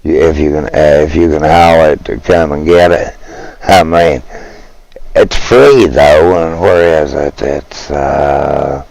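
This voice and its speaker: A man from Virginia, chatting casually with live viewers, rambling and halting as he searches for words. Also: extremely low pitch, steady tone, vocal fry, darkish tone, no room sound, rough recording. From West Virginia. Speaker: streamer.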